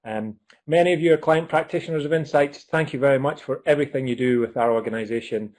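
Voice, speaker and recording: A man's voice: medium pitch, fast talker, a little mellow. A man with a Scottish accent hosting a live webinar on a video call, chatty and upbeat, with frequent ums and uhs. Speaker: webinar host.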